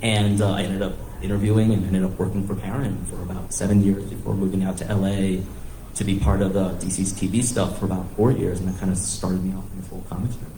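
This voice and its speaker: A man's voice: low voice, flat delivery, fast talker, mellow. An American man telling personal stories casually on a panel, interrupting himself as he thinks aloud. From northeastern United States and Thailand. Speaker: comics writer on a panel. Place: live panel event in a room. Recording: echoey room with faint background noise.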